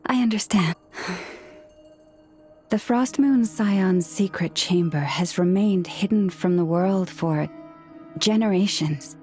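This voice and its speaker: A woman playing a serene, solemn priestess leader in a video game, speaking gently in formal, measured phrases. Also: medium pitch, soft background music, natural voice. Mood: solemn, reassuring. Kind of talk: video game character acting.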